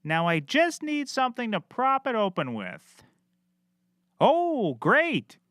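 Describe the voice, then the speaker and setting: Man playing a high-pitched, excitable, bumbling private eye. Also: slow pace, heavy background noise, heavily acted. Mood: cheerfully clueless. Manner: melodramatic, cheerfully clueless, exclaiming. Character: bumbling, oblivious, excitable male private detective. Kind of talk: scripted audio comedy acting.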